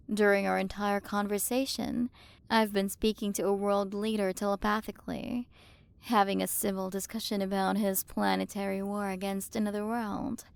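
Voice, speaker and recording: A woman's voice: mid-range voice, creaky, darkish tone, clean tone, dry recording, natural voice. Casting: female performer as an imperious alien queen-scientist for ASMR roleplay; mid-range voice, commanding and teasingly superior, intimate dry recording. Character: haughty alien galaxy queen, possessive scientist captor.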